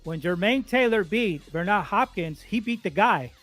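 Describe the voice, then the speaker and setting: A man's voice: high voice, lively intonation, moderate pace, fairly bright tone, faint music behind. A man in his forties arguing boxing, insistent, repeating his points and stumbling over words. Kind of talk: heated boxing argument.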